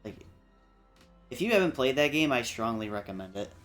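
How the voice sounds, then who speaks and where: A man's voice: mid-range voice, sing-song, fairly quick, fairly bright tone. Casual man swearing freely over music. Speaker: panelist in a video game discussion. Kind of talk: casual chat, livestream round table.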